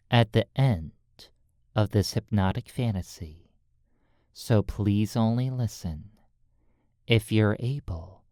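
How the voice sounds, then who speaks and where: A hypnotist guides a sleep session at a very unhurried pace. The delivery is soft and soothing, broken into short, deliberate phrases. The voice sits close on the mic in a dry, echo-free space. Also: mid-range voice. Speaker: hypnotist and recording host.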